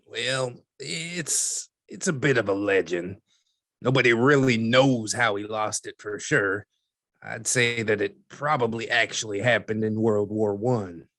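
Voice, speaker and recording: A man playing a dry, skeptical newspaperman who explains things in a measured, wry interview style for an audio drama. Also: fairly low pitch, fairly bright tone, dry recording. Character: dry, skeptical newspaperman recounting archived cases.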